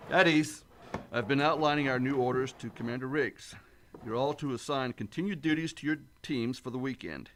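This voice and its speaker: A man playing a steady starship captain in a sci-fi radio play, speaking with formal military authority. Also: mid-range voice, moderate pace, a little hiss or hum, natural voice. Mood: formal, approving.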